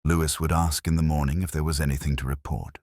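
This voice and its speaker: A man playing a melancholy, reflective police inspector, narrating an audio drama in slow, measured, solemn literary prose with deliberate pauses. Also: rumbling low, level tone, darkish tone, dry recording, studio quality. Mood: solemn, hushed. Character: melancholy, reflective male police detective inspector telling his story.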